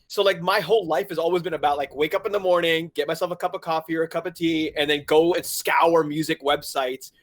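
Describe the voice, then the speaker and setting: Animated man in his forties, from Hong Kong. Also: a little high, fast talker, hardly any pauses. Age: 40s. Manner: animated storyteller, laughs often, acts out his own past thoughts. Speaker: guest, website founder. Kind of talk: casual interview conversation.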